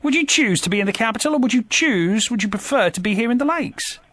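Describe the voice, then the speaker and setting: A man's voice: extremely high pitch, lively intonation, fast, a bit bright, no echo. A man presenting a radio interview in a studio, upbeat and effusive.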